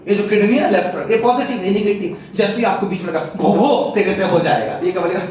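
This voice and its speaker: A high-voiced young man in his twenties gives a motivational talk from a stage, telling stories with animation, acting out voices and croaking sounds. His voice rings through a big, echoing hall, and the sound is slightly muffled. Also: fast talker. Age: 20s. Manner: mixes English phrases into Hindi. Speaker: motivational speaker. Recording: echoey hall, muffled, light noise.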